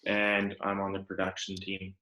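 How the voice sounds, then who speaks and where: A young Western Canadian man gives a student presentation in an echoey room. His voice is low and fairly monotone. He pauses often and sounds hesitant as he goes. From Alberta, Canada. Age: young adult.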